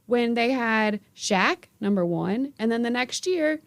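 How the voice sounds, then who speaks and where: A woman's voice: a little high, animated, measured pace, no room sound. A woman who reports from the sideline, chatting on a sports podcast, playful and backing points with stats. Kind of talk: casual sports podcast chat.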